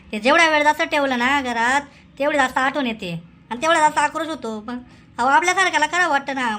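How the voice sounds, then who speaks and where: A woman's voice: sits high, pushed and bright. A woman voicing a meddling, practical village man in a comic cartoon, coaxing and insistent. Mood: lamenting.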